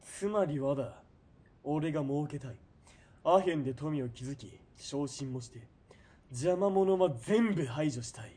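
A man playing a ruthless, greedy military police commander in a period radio drama, domineering and sneering, moving between cold murmurs and shouting. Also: a little high, lively intonation, measured pace, breathy edge. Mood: condescending.

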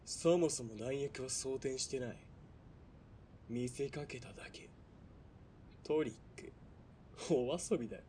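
A man's voice: mid-range voice, a little slow, pauses often, a little hiss or hum, natural voice. Man acting a sneering sadistic military police villain. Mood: mocking, sadistic. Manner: sneering, mocking, swinging from quiet threats to barking. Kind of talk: Japanese radio drama acting.

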